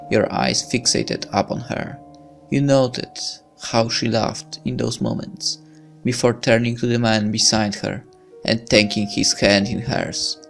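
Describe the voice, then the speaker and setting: A man's voice: medium pitch, vocal fry. A man reading a visual novel aloud as narration, breaking into casual commentary as a let's player.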